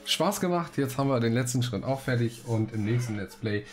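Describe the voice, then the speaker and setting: A German man hosting a let's play, casually commenting on the game in a relaxed, chatty way with enthusiastic slang. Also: mid-range voice, fairly quick, soft background music.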